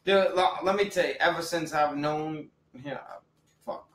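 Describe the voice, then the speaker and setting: A man in his thirties in a casual podcast chat, talking in animated, slangy bursts. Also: a little high, a little slow, echoey room, slight background noise. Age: 30s. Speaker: podcast co-host, rapper.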